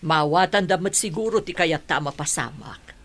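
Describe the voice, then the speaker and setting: A woman's voice: low voice, normal speed. A woman playing a worried, anxious mother with an Ilocano accent in a radio drama. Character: worried mother anxious about her adopted child's future.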